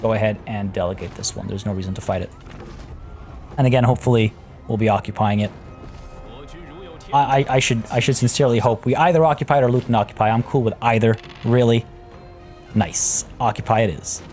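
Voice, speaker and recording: A man casually talking through a strategy game as a let's play host. He is slightly hoarse from losing his voice and thinks aloud with fillers. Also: fairly low pitch, a little fast, pauses often, darkish tone. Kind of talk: casual gameplay commentary. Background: faint game music.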